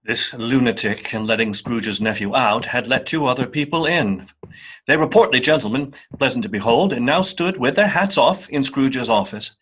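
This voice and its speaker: An actor playing a wry storybook narrator in a radio play, telling the tale in a measured, literary way. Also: male voice, mid-range voice, fairly bright tone, slightly rough.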